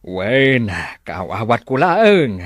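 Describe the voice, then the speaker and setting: Man acting slow, gentle old father. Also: mid-range voice, big pitch swings, dry recording. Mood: reassuring. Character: gentle, reassuring elderly father on a call. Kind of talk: radio drama acting.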